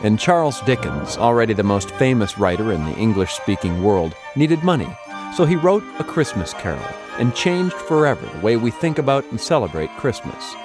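Male radio host, mid-pitched, expressive scripted narration over music.

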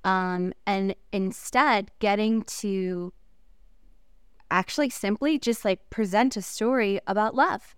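A woman whose first language is Korean speaks in an exceptionally smooth, clean voice, recorded close and dry. She talks reflectively as an interview guest, pausing on fillers and breaking into soft laughs. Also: mid-range voice, dry recording. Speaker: actress interviewee.

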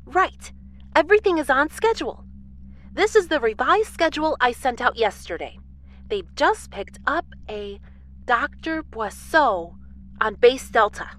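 High, animated, fussy woman coordinator. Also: measured pace, heavily acted. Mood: earnest, flustered. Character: earnest, precise moonbase coordinator, a flustered woman. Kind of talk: audio drama acting. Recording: faint background noise and ambience.

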